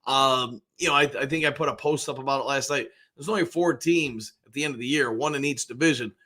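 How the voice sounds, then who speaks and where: An American man with a slightly hoarse voice, chatting in a rambling, conversational way as he hosts a casual live sports fan show. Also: medium pitch, a little fast, sharp tone. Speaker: sports show host, basketball coach and realtor.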